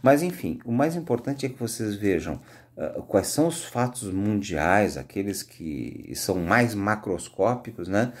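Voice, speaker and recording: A Brazilian man speaking as a high school history teacher, giving an informal, conversational lecture with frequent tag questions. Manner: conversational, explanatory, frequent "né" tags.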